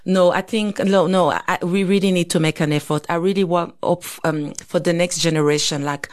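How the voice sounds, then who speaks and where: A woman in her thirties from Congo talks through her thoughts on a podcast. Her voice sits somewhat low, and she speaks reflectively, pausing and restarting as she goes. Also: fairly even intonation, darkish tone. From Congo (DRC). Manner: reflective, thinks aloud with many fillers and restarts. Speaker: podcast co-host. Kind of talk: casual conversation.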